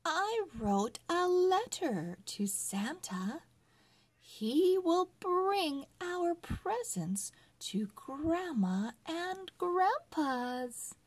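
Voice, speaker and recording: A woman voicing an opinionated little girl in a Christmas storybook, with drawn-out, emphatic delivery. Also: very high-pitched, sing-song, pitched above the performer's own voice, full character voice. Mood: eager.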